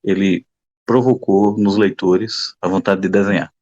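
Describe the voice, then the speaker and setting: A man's voice: sits low, steady tone, unhurried, a little mellow, muffled recording. A man with a Northeastern Brazilian accent chatting casually and colloquially as a podcast panelist. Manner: colloquial, slangy. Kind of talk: casual chat.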